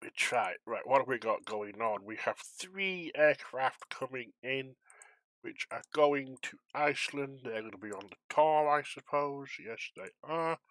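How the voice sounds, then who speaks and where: A man's voice: mid-range voice, expressive, measured pace, a bit bright, no echo, rough recording. A man chatting casually on a flight simulation stream, rambling and self-deprecating as he talks to viewers while controlling air traffic.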